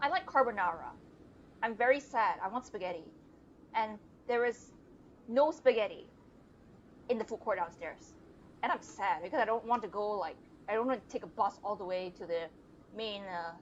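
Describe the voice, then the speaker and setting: A woman's voice: mid-range voice, animated, fairly quick, slight background noise. A young woman giving casual, joking game commentary as a streamer, on a slightly dull lo-fi microphone. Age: young adult. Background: game music.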